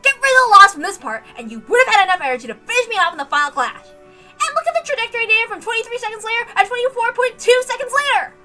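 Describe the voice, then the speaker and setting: Hot-headed young boy fighter played by a woman: high, piercing, indignant and bossy, for a dramatic story reading. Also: lively intonation, racing, bright, forceful tone, soft background music, full character voice. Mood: scolding, lecturing. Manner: emphatic, scolding, indignant. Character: short, hot-headed, boastful young male fighter, lecturing rival.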